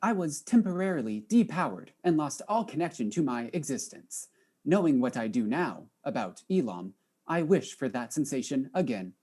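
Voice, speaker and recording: Woman acting as artificial intelligence, very low pitch, animated contours, precise, grieving, audio drama. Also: normal speed, husky, exaggerated voice. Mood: distressed. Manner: formal, precise, stilted phrasing. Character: ship's artificial intelligence, grieving, formal, newly emotional. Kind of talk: acted mystery audio drama.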